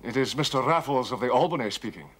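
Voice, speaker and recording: An actor playing a gentleman thief who puts on a Scottish accent, exaggeratedly courteous and quaint, in a period drama. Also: medium pitch, expressive, quick pace, breathy edge, voice pushed down. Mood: mock courteous. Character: gentleman thief mockingly posing as a courteous Scotsman. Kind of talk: period television drama dialogue.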